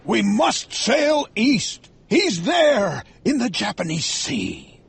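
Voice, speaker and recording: A man voicing a fierce, obsessed sea captain in a storybook, declaiming defiantly in loud, drawn-out cries. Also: high-pitched, huge pitch swings, gravelly, slightly rough, pitched above the performer's own voice, full character voice. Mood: urgent, demanding. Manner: shouting, drawn-out declamatory delivery. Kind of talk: dramatized storybook narration.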